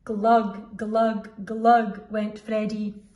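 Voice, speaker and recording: A woman reading a children's story aloud expressively, deliberately drawing out the dramatic sound words. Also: medium pitch, flat delivery, lo-fi sound. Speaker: school storyteller.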